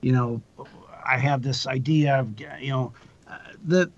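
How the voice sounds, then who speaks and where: A man's voice: medium pitch, steady tone, measured pace. A man of about sixty giving casual advice as a podcast guest, rambling and self-deprecating, often repeating his words. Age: 60s. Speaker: podcast guest, actor and producer. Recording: dull lo-fi audio.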